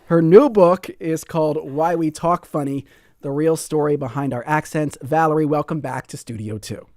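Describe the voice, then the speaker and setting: A man's voice: a little high, normal speed, darkish tone, no room sound, clean studio sound. A man hosting a public radio call-in show, talking in a friendly way and reading out listener comments. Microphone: studio microphone.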